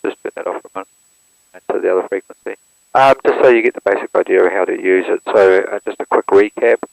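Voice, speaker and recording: A man, walking through how to operate an aircraft radio step by step in a plain, steady manner, recorded through an intercom headset. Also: low-pitched, fast talker, creaky, no room sound, rough recording. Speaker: instructor demonstrating an aircraft radio. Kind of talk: hands-on equipment walkthrough.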